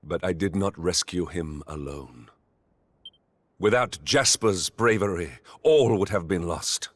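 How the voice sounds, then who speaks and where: An actor playing a remorseful noble knight general in a fantasy video game, speaking in a grave, formal and deliberate manner. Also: male voice, sits low. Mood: bitter, regretful. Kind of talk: dramatic scripted monologue in a fantasy video game.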